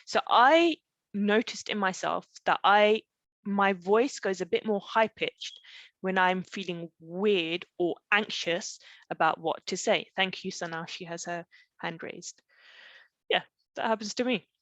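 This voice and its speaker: Female coach, middle-aged, mid pitch, conversational live teaching, frequent check-in questions, dry recording. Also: measured pace, a bit bright, no room sound. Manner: explanatory, often checking in with "okay?" and rhetorical questions. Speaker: parenting coach leading a live online session. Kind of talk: conversational live webinar teaching with personal stories. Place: live online group session.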